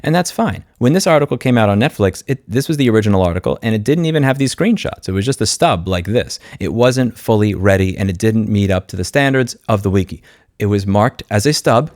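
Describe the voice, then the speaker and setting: A man's voice: fairly low pitch, lively intonation, fairly quick, darkish tone, no room sound, clean studio sound. A man hosting an informal commentary video, speaking in a blunt, sardonic and opinionated way. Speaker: video host, consumer rights commentator.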